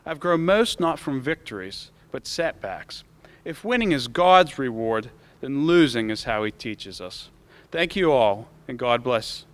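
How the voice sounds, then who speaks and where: A man's voice: medium pitch, sing-song, measured pace, fairly bright tone. Young American man giving a graduation speech. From United States. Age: late teens. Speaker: graduating student speaker. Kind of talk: prepared commencement speech. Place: school auditorium stage. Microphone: podium microphone.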